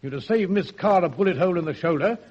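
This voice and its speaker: An actor playing a gruff, scolding police detective sergeant in a radio drama. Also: male voice, high-pitched, animated, fast talker, darkish tone, highs cut off. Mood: indignant.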